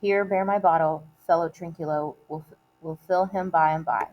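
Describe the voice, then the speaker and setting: A woman reading aloud like a teacher giving a test, in a measured, deliberate way with short, separated phrases. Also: lowish voice, fairly even intonation, highs cut off. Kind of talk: read-aloud of multiple-choice questions and quoted play lines.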